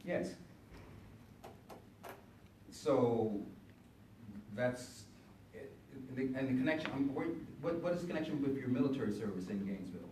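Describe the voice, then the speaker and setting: An older man moderating a panel, halting and hesitant, full of fillers and restarts. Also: mid-range voice, animated, darkish tone. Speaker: moderator, university teacher. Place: panel room with audience. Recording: echoey room, far from mic, noisy.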